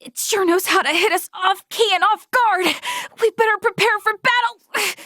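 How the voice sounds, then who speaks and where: A woman's voice: very high voice, moderate pace, sharp tone, no echo, studio quality. An actress voicing an earnest, dutiful young female military officer in a sci-fi video game, composed and articulate. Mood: alert, tense.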